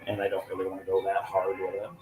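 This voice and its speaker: A man's voice: low voice, fast. A man with a stuffed nose, sniffling and casually explaining his coloring while drawing on a live stream. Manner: relaxed, explaining as he works. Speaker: comic artist.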